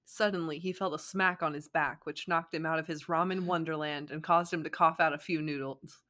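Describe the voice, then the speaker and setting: A woman's voice: low-pitched, fairly even intonation, fairly quick, a bit bright. A young adult woman casually narrating fan fiction with banter, sounding amused and giggly.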